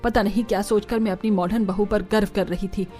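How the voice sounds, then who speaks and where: Woman acting a bossy Indian family matriarch, middle-aged, soft-edged dark tone, scolding, cartoon melodrama. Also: mid-range voice, steady tone, fairly quick, faint music behind, close to an everyday voice. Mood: shocked, angry. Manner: scolding, commanding. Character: bossy, proud middle-aged mother-in-law.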